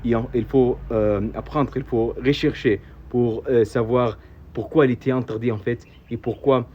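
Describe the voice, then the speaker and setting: A man's voice: mid-range voice, fairly even intonation, normal speed. Hesitant Arabic-accented man, muffled outdoor sound. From Saudi Arabia. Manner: hesitant, many fillers and repeated words. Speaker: local tour guide vlogger. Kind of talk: casual guided-tour explanation. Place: outdoors at historic old town. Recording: dull, slightly noisy outdoor audio.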